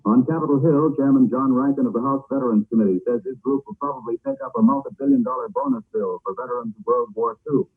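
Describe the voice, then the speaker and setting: An old, narrow-band radio recording of a man reading news in 1940s style. His voice sits at an average pitch with a husky haze, and his delivery is formal and declarative. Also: very animated, fast, hardly any pauses. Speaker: radio newscaster.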